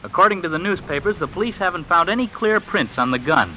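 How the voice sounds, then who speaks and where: An actor playing an insistent, scheming young man in an old radio drama, pressing someone to keep quiet. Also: high-pitched, animated, fast, loud music behind the voice, rough recording. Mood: conspiratorial. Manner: persuasive, pressing. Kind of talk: 1950s radio drama acting. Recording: old radio broadcast, rain behind.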